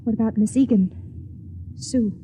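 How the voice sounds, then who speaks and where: An actress playing an anguished, halting young wife in a 1950s radio drama. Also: female voice, on the high side, dark tone, a little hiss or hum, natural voice. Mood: anguished, resigned. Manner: hesitant, halting. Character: anguished young pregnant wife, frightened, lovelorn, resigned. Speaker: lead actress and first-person narrator. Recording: dull old radio recording, music behind.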